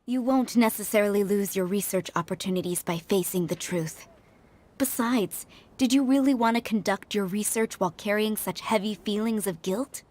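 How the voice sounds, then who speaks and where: An actress playing a composed, enigmatic woman in a video game, speaking in a measured, solemn and persuasive way. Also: mid-range voice, darkish tone, slight background noise, close to an everyday voice. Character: composed, enigmatic woman preaching judgment and atonement. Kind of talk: dramatic video game voice acting.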